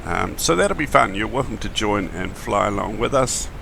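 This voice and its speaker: A man, his voice gravelly and croaky in the morning, chatting casually and with many fillers as he streams a flight simulator. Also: on the low side, expressive, normal speed, background noise. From New Zealand. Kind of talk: casual live-stream chat.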